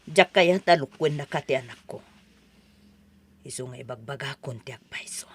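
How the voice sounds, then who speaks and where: A woman's voice: a bass voice, normal speed, breathy edge, exaggerated voice. A woman playing a stern, scheming mother in a melodramatic audio drama, speaking with emphatic pressure. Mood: grave pleading.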